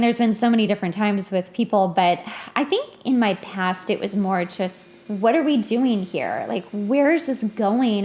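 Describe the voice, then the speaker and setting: A woman's voice: medium pitch, a little mellow. A woman in her thirties hosting a podcast, chatting casually in a blunt, informal way. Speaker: podcast host. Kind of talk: casual chat.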